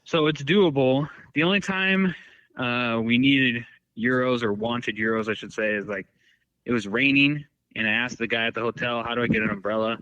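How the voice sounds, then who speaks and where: A man's voice: medium pitch, normal speed, a bit bright, dry recording. Man rambling in dull, dry lo-fi audio. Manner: rambling, filler-heavy storytelling. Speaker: podcast guest. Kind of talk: casual chat.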